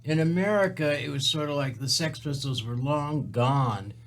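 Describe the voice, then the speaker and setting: A man's voice: a little high, normal speed. A man who is a singer-songwriter, a guest on a radio talk show. He rambles a little, drops band names and makes fun of himself. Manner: rambling, self-deprecating, lists band names. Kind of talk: casual studio chat. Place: internet radio studio.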